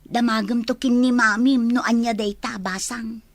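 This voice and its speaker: A woman's voice: fairly high pitch, fairly even intonation, normal speed, background noise, lightly acted. A woman playing a nosy, outspoken aunt in a radio comedy-drama. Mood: nosy, exasperated. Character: nosy, outspoken aunt gossiping about family troubles.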